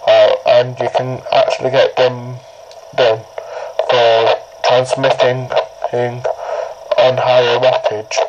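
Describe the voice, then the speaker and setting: A man presents an informal how-to in a slow, halting delivery. He repeats himself and keeps pausing to check in. His voice is mid-pitched, with faint noise on the homemade recording. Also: level tone, muffled recording. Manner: halting, repetitive, often checking with "right". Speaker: presenter of a tutorial. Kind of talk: informal hands-on demonstration.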